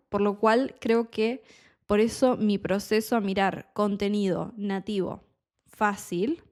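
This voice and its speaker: Slow, deliberate woman from Buenos Aires. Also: medium pitch, a little mellow. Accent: Buenos Aires Spanish. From Argentina. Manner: deliberate, explains words as she goes. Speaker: Spanish tutor. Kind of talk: slow, clear teaching monologue.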